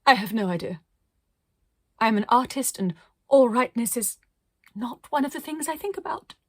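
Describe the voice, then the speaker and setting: A woman's voice: fairly high pitch, expressive, normal speed, a bit bright, no echo, studio quality, voice pushed up. A woman voicing a flamboyant, dreamy, eccentric woman artist in a children's storybook, with gushing, melodramatic delivery. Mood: grandly airy.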